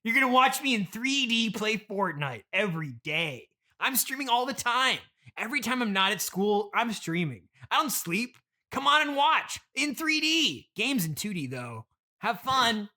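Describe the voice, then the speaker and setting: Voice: medium pitch, sing-song, normal speed, bright, forceful tone. A performer playing a bratty young son, a mocking, whiny streamer who taunts and interrupts in improvised comedy. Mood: boastful.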